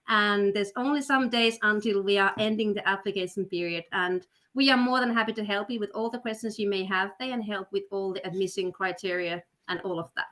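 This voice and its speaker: A woman's voice: mid-range voice, fairly bright tone. A woman hosting an education webinar, upbeat and persuasive, with frequent fillers. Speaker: webinar host, study counselor. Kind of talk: webinar presentation. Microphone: video call.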